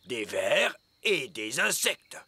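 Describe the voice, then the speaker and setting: A man's voice: medium pitch, very animated, takes it slowly, sharp tone, slightly rough, no echo, heavily acted. A man playing a pompous, knowledgeable father in a French cartoon dub, explaining things emphatically. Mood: instructive. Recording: music behind the voice.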